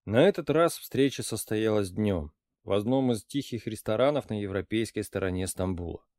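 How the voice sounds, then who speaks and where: A man reading an audiobook as narrator, with even, steady storytelling. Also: fairly low pitch, moderate pace, no room sound, clean studio sound. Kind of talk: scripted narration.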